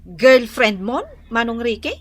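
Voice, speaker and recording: A woman's voice: on the high side, a little slow, fairly bright tone. A woman acting a young, teasing, bickering sister in an Ilocano comedy radio drama, with an Ilocano accent. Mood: teasing, playful.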